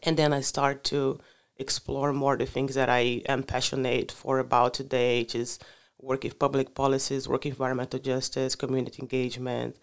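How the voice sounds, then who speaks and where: Halting man, smooth voice. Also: medium pitch, completely flat delivery. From Brazil. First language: Portuguese. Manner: halting, searching for words with many fillers. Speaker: guest. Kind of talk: casual interview answers.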